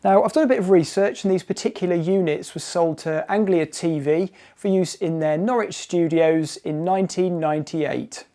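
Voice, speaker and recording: A man's voice: sits high, normal speed, hardly any pauses, mellow. A man presenting in an explanatory video about vintage equipment.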